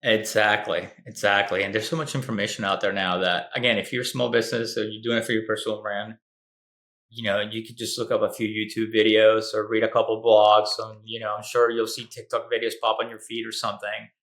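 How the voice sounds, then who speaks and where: A man's voice: lowish voice, a little fast. A man from Venezuela who grew up speaking Spanish and Italian, chatting casually as an advertising expert guest. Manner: relaxed, chatty, frequent fillers. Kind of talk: casual interview chat.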